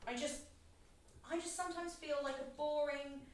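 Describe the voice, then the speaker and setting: A woman's voice: high voice, steady tone, moderate pace, lightly acted. A woman playing a studious, cautious teenage girl and loyal best friend in a naturalistic drama. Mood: affectionate, teasing. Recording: very echoey room, distant mic, faint noise.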